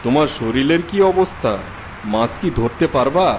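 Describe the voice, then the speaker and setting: A man's voice: mid-range voice, animated, normal speed, slight background noise, close to an everyday voice. A man playing a kindly but authoritative fish-market boss in a cartoon folk tale. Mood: benevolent.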